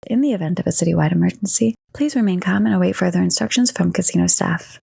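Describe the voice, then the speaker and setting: A woman's voice: fairly low pitch, quick pace, creaky, dark tone, husky, full character voice. A woman playing an alluring, polished casino announcer in a scripted radio advertisement with a sultry, inviting delivery. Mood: brisk disclaimer. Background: jazz piano music.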